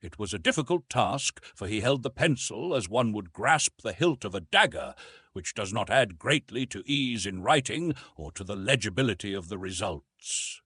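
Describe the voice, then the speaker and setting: A man narrating a literary audiobook in an expressive, measured storytelling manner with dramatic emphasis. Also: lowish voice, breathy edge, no room sound, studio quality.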